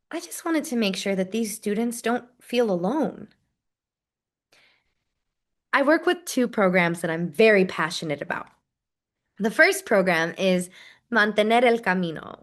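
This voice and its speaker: A friendly, dedicated program associate played by a woman with a somewhat high voice. She talks in a chatty, enthusiastic way, as if telling her own story in a dramatized interview. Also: fairly bright tone. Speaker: performer portraying a university program associate. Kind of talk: dramatized first-person interview narrative, conversational.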